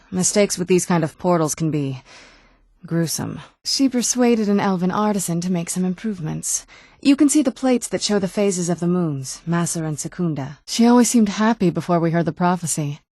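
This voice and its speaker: A centuries-old yet youthful vampire woman voiced by an actress for a fantasy video game. Her voice sits fairly low and is recorded close and dry. She talks in a measured, conversational way, guarded and wistful, with wry understatement. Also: warm tone, no echo, close to an everyday voice. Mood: wistful, reflective. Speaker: video game companion character. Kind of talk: video game dialogue acting.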